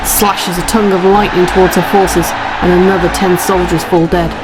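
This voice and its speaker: A woman's voice: on the low side, flat delivery, moderate pace, heavy background noise. A woman reading scripted narration of a fantasy action story as its narrator. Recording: loud music under the voice.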